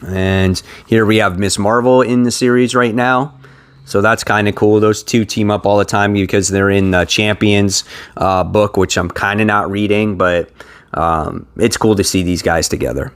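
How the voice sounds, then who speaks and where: A man's voice: lowish voice, steady tone, normal speed, smooth. A man hosting a comic book haul video, talking in an informal, enthusiastic fan's manner as he shows things to viewers. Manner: enthusiastic, informal fan talk. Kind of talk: casual show-and-tell commentary to viewers.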